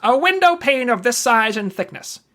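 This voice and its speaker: An actor playing a clever, theatrical investigator who talks in a sly, probing way, in old-time radio detective style. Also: male voice, very high voice, very animated, normal speed, bright, forceful tone, exaggerated voice. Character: clever, theatrical male insurance investigator sleuth.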